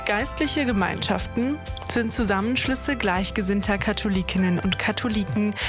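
Woman narrating a documentary over music. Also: mid-range voice. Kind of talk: scripted narration.

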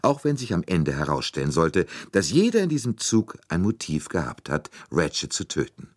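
A man voicing a shrewd, courteous gentleman detective, calm, deliberate and soothing, with bits of French, in an audiobook story. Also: sits low, no echo, clean studio sound, heavily acted. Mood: composed.

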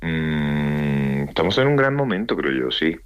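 A man with a very low voice and a mixed Andalusian, Castilian and Mexican accent, chatting in an interview. He tells his stories with animated energy and acts out what others said. The sound is close and dry. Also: measured pace, clear, resonant tone, dry recording, lo-fi sound. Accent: mixed Andalusian, Madrid Castilian and Mexican Spanish. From Andalusia, Spain. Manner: animated storytelling, acts out quoted dialogue. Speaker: actor, interview guest. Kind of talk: casual interview chat.

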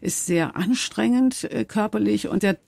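A woman's voice: medium pitch, unhurried, gravelly, a little mellow, dry recording. An older woman, a documentary filmmaker and former dance teacher, speaking as an interview guest in an earnest, thoughtful way with frequent restarts. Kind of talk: conversational interview.

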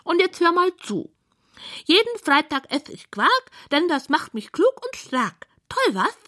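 A squealing, self-important little pig from a children's bedtime story. The voice sits high and swoops widely, theatrical and excitable, a lonely creature very pleased with its rhymes. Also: female voice, measured pace, heavily acted. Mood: proud, excited. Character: excitable, vain, lonely pink pig who loves rhyming.

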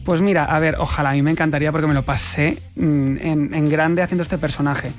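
A man with a Spanish accent from Spain, chatting in an expressive, colloquial way as an interview guest. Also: on the high side, brisk, faint music behind. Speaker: guest actor being interviewed. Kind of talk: casual interview chat.

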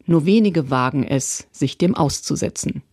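Female news voice-over, measured and even, dry studio sound. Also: low-pitched, no room sound. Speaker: news reporter. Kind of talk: scripted news narration. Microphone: studio voice-over mic.

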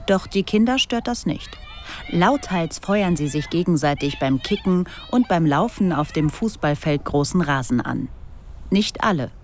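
Brief: female feature narrator, low and composed. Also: animated, a little slow, a little mellow. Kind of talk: scripted radio feature narration.

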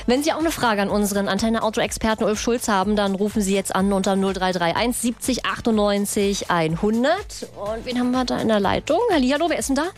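A woman's voice: mid-range voice, a little fast, hardly any pauses, soft background music. A woman presenting a radio call-in show in a playful, chatty way. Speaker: radio host.

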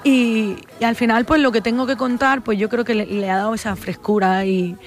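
A woman's voice: fairly high pitch, steady tone, normal speed, faint music behind. A young adult woman with a Spanish accent from Barcelona, a flamenco singer answering interview questions in a thoughtful, hesitant way, full of fillers and restarts. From Spain.

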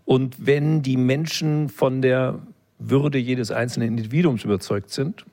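A man's voice: mid-range voice, fairly even intonation, measured pace, creaky, dark tone. A man answering interview questions in a reflective, lecture-like way, explaining like a professor and adding small check-in tags. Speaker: interview guest, philosophy expert.

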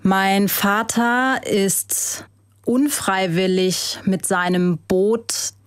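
A woman's voice: mid-range voice, fairly even intonation, very slow, clear, resonant tone, no echo. Young woman, interview guest, thoughtful, reflective, some fillers, dry close mic. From Hessen, Germany. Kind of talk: interview answers.

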